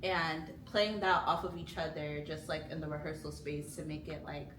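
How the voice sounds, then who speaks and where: Young student actress, hesitant casual delivery; roomy distant recording. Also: female voice, low-pitched, little pitch movement, normal speed, fairly bright tone. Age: young adult. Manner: hesitant, many "um" and "like". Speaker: student actor. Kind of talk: casual chat about rehearsals. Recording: roomy, distant mic, noisy.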